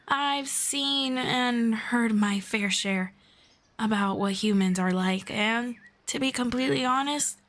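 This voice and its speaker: A woman playing a friendly, calm forest elf in an ASMR fantasy roleplay, speaking in a measured, playful, gently sarcastic way. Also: a little high. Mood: teasing, reflective. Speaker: roleplay voice performer.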